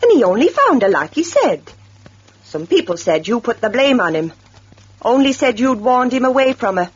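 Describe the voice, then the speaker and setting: A defiant former barmaid wife, English accent, sharp-tongued, lively pitch, dull radio-play recording. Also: female voice, moderate pace, breathy edge. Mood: accusing. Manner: sharp-tongued, back-chatting. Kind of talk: radio drama acting. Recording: muffled old radio recording.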